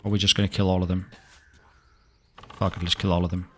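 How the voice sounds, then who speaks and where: A man's voice: sits low, flat delivery, brisk, sharp tone, a little hiss or hum. Laid-back Danish-accented young man. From Denmark. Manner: laid-back, swears casually, chats to viewers. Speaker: game streamer. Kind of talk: casual gaming stream chat.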